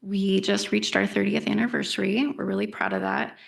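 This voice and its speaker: A woman consultant presenting her firm at a council meeting, soft-spoken and quiet. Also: medium pitch, flat delivery, normal speed, gravelly.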